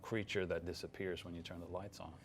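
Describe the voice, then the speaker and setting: A man's voice: deep voice, flat delivery, fast, gravelly, husky. A man from Sweden with a light Swedish accent, giving hesitant interview answers full of fillers and restarts. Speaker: film director.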